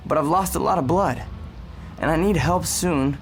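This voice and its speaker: A man's voice: fairly high pitch, normal speed, soft background music, close to an everyday voice. An actor playing a policeman in a radio drama, hesitant, conversational and placating. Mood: urgent, pained. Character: reassuring, injured policeman humouring an old woman. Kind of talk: radio drama acting, naturalistic.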